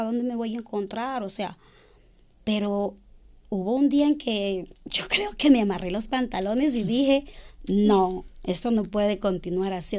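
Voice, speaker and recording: A woman from Ecuador, middle-aged, speaks as an interview guest with a mellow, unhurried tone. She gropes for words, repeats herself and fills the gaps with small hesitations. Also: fairly high pitch. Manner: hesitant, searching for words, with many fillers. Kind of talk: personal account in an interview.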